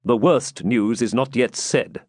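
A man's voice: medium pitch, measured pace, no echo, clean studio sound, natural voice. A man voicing a grim, battle-worn medieval knight with solemn, emphatic archaic speech. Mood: low, emphatic.

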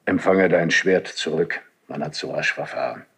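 A man's voice: extremely low pitch, moderate pace, vocal fry, a bit bright, breathy edge, heavily acted. An actor playing a stern older Spanish king and father, formal and commanding, in classical verse for a 1970s German radio drama. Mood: solemn, magnanimous.

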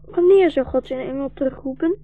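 Hoog, angstig jongetje , gespeeld door een vrouw. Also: female voice, high voice, brisk, faint music behind. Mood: anxious, trusting. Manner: pleading, childlike. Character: young orphaned boy, anxious, devoted, trusting. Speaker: radio play actor. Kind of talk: 1960s radio drama acting. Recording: muffled 1960s radio broadcast recording.

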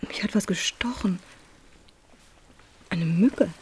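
A woman playing a sensitive teenage daughter in a radio drama, hushed and often breathy. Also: medium pitch, slow, a little hiss or hum, natural voice. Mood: uneasy, hushed. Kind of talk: German radio drama acting.

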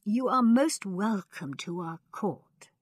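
A woman voicing a little old male emperor in a storybook, thin and high-pitched, querulous yet regal. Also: lively intonation, slow, slightly rough, no echo, clean studio sound. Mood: gracious, regal. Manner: imperious, querulous, yelping with pain.

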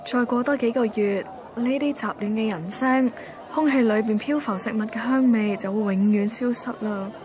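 An actress plays a young woman with a Hong Kong Cantonese accent and a fairly high voice. She tells her story in a wistful, colloquial, inward-looking way, as in a radio drama. Clear street noise runs behind her. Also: normal speed, close to an everyday voice. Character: wistful young woman photographer, sentimental and timid. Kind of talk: radio drama first-person narration.

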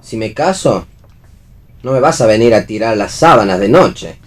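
An Argentine actor playing a wry, bantering husband, fond but sceptical, in a radio play reading. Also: male voice, fairly low pitch, expressive, normal speed.

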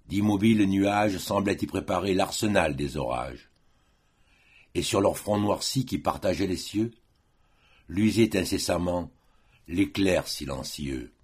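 A man with a very low, husky voice recites verse for an audiobook. He takes it very slowly, in a solemn and declamatory way. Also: a little mellow. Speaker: audiobook reader. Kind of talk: poetry recitation.

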